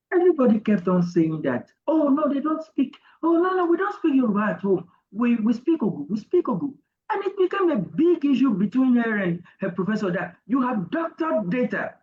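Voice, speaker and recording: A middle-aged Nigerian man, an interview guest, telling a personal story in a deliberate, halting academic way. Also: very high voice, sing-song, reverberant, lo-fi sound. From Nigeria, Ogun State. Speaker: interview guest, university professor.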